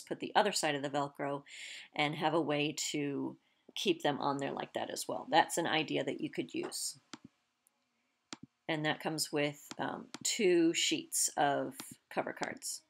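A woman casually walking through a set of printable car games for kids, reading long item lists one by one with frequent fillers. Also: fairly low pitch. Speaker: homeschool blogger presenting printables. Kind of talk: casual walkthrough voice-over.

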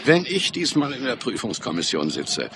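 An actor in a radio crime play voicing a gruff, sardonic, authoritative senior detective who doubts what he is told. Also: medium pitch, huge pitch swings, normal speed, background noise. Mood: gruff, matter-of-fact. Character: gruff, sceptical senior police detective, defensive of the force. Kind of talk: German radio play dialogue.

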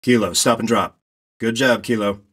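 Clipped, commanding military pilot. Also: male voice, on the low side, steady tone, normal speed, a bit bright. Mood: focused, commanding. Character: steady male military reconnaissance pilot directing a ground squad. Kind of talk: terse military radio commentary, game acting.

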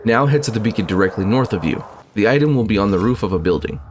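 A man talks through a game guide at a brisk clip, with a lowish voice. He gives step-by-step directions plainly on a close voice-over mic. A faint noise floor sits behind him. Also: expressive. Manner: brisk, instructive, matter-of-fact. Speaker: gaming channel host. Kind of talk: walkthrough narration.

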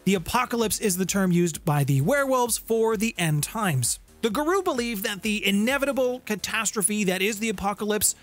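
A middle-aged man hosting a scripted explainer in a theatrical, emphatic way with dramatic pauses, close on a studio mic. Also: high voice, animated. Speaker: host of a tabletop gaming channel. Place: small home room with guitars on the walls. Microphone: close studio condenser mic with pop filter. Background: background music.

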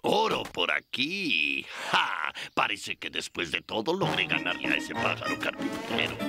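A man voicing a scheming, greedy buzzard guide in a slapstick cartoon, gravelly, sly and exaggerated in his boasting. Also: sits low, huge pitch swings, measured pace, sharp tone, full character voice. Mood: sly, boastful. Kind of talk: Spanish-dubbed slapstick cartoon acting. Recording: cartoon music behind the voice.

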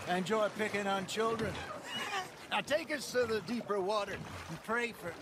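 An actor playing a dry, teasing old outlaw in a video game. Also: male voice, sits high, moderate pace, noisy background, natural voice. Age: older. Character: wry, good-humoured old outlaw. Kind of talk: video game cutscene acting.

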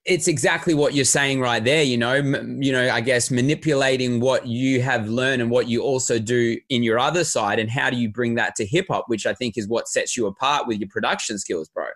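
A man's voice: medium pitch, steady tone, a little fast, few pauses, fairly bright tone. Chatty male podcast host. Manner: long wandering questions with restarts and "you know". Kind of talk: interview host questions. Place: home music studio. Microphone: studio microphone with headphones.

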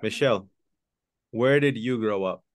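A man teaching an online English lesson, switching between English and Spanish and repeating key words slowly for his students. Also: on the low side, dry recording. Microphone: video call webcam.

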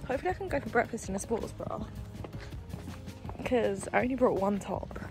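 A woman's voice: a little high, fairly quick, over music. A woman in her twenties chatting informally about her holiday for a vlog. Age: 20s. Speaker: vlogger. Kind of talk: casual holiday vlog chat.

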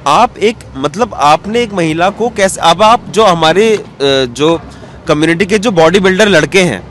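A man's voice: sits high, animated, unhurried, fairly bright tone. A man in his thirties, a cartoonist guest, answering interview questions at length and mixing in English terms, recorded outdoors on a handheld mic. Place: outdoors in an open courtyard. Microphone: handheld mic held out by interviewer. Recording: faint outdoor street noise.

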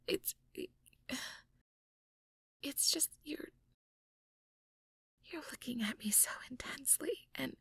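A woman playing a shy, anxious young mage girlfriend in an intimate audio roleplay, hesitant and stammering in a breathy whisper. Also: a little high, fairly bright tone, no echo, clean studio sound, full character voice. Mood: shy, flustered. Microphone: close microphone, dry.

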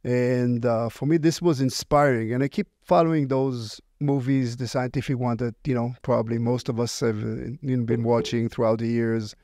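A man's voice: mid-range voice, fairly even intonation, normal speed, few pauses, warm tone, dry recording, clean studio sound. A middle-aged man with an Israeli accent, answering interview questions as a company founder in a rambling, conversational way. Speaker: company founder, podcast guest.

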